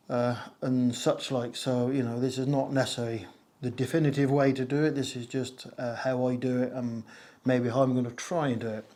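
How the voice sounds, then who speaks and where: A man with a slightly hoarse voice, talking casually to camera about his audio setup, with many fillers and self-mocking jokes, recorded on a wireless lapel mic in a somewhat echoey conservatory. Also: mid-range voice, a little mellow. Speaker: video creator explaining audio gear. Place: conservatory used as a home studio, a little echoey.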